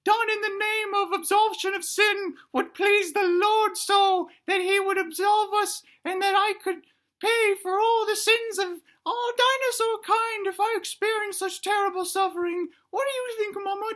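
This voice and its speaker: A woman's voice: very high-pitched, steady tone, normal speed, a bit bright, voice pushed up. A woman voicing an earnest, frightened little boy dinosaur who speaks in grand, theatrical phrases in a comic story. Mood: earnest, eager.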